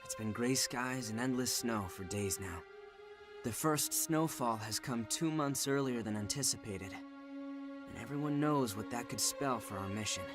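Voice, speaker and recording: A man's voice: medium pitch, unhurried, over music, natural voice. A man playing an earnest, grave young army lieutenant in a scripted game drama. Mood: grave, weary.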